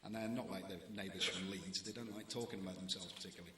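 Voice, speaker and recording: A man's voice: low-pitched, steady tone, fast, echoey room, crowd noise. A man in his forties with a Scottish accent, a newspaper editor giving a prepared talk to students with dry, wry humour and comic timing.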